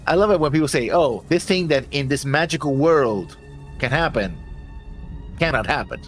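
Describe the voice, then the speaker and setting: A man's voice: on the high side, expressive, moderate pace. Easygoing guy chatting over an anime, voice bounces up and down, faint music behind. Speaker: reaction show co-host. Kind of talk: casual reaction chat.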